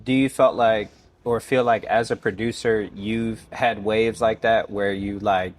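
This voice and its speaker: A man with a lowish voice hosting a casual podcast. He asks questions in a loose, rambling way, working through fillers before he gets to the point. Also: fairly even intonation, a little slow. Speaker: podcast host.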